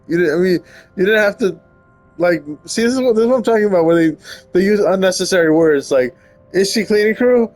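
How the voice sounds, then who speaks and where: A man with a fairly high voice reads story narration aloud for a visual novel playthrough. He slips into casual, wry, nitpicking asides, with music playing behind him. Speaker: let's player reading a visual novel aloud. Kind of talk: reading narration aloud with casual riffing commentary.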